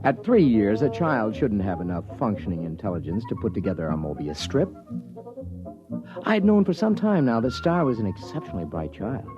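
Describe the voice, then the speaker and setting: A man's voice: lowish voice, very animated, fairly quick. An actor playing a troubled, evasive American father in a 1950s radio drama, hesitant and earnest. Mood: grave, reflective. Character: troubled, evasive father of a gifted little girl. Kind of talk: 1950s radio drama acting with first-person narration. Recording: muffled vintage radio recording with music.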